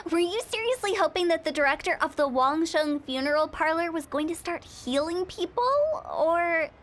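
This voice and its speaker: A woman's voice: extremely high pitch, animated, unhurried, fairly bright tone, full character voice. A woman voicing a playful, eccentric young funeral parlor director in a video game, breezy and self-assured. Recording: studio game voice with faint music.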